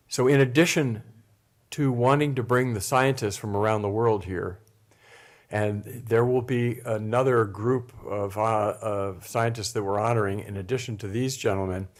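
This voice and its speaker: An older man gives a formal, emphatic press conference speech as event organizer. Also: lowish voice.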